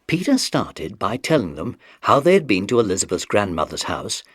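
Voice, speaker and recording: A man's voice: lowish voice, husky, no echo, clean studio sound. A British man narrating a scripted children's audiobook story.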